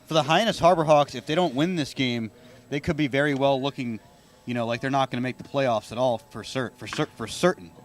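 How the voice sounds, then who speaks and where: A man's voice: medium pitch, brisk. A man hosting a sports radio broadcast, doing pregame talk and play-by-play commentary.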